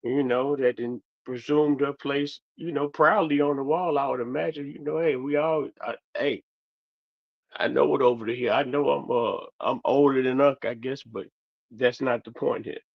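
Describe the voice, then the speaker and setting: A man who is losing his voice hosts a loose commentary monologue, his gravelly, worn tone drifting from one half-finished thought to the next. The sound is dull and lo-fi, like a home setup. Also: mid-range voice, steady tone, moderate pace. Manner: rambling, meandering, trails off mid-sentence. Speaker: commentary host.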